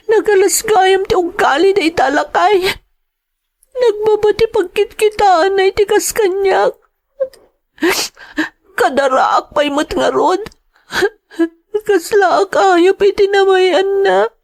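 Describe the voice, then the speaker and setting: Radio drama: despairing young migrant maid, Ilocano accent, very high pitched, slow, pleading, tearful delivery. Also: female voice, creaky, exaggerated voice. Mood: bitter, hurt. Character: anguished young woman working abroad as a maid.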